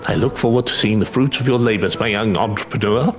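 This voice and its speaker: A man voicing a courteous older master of a trade in a fantasy game, with a formal, measured way of talking. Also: lowish voice, faint music behind, close to an everyday voice. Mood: warm, formal.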